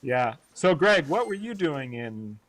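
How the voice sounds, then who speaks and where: A man's voice: fairly high pitch, very animated, a little slow, a bit bright. A man co-hosting a casual live chat, speaking in an appreciative, conversational way with friendly banter. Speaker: live show co-host.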